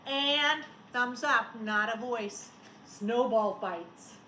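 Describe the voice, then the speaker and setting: A woman, a schoolteacher, talking and reading aloud to young children in simple, expressive phrases. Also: on the high side, drawn-out words. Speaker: elementary school teacher. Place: indoors at home. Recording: roomy home recording, faint noise.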